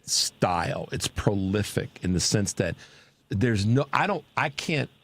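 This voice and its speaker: A man hosting a radio interview show in a studio, talking in an excited, casual way with lots of stammered restarts. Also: on the low side, measured pace. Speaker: radio host.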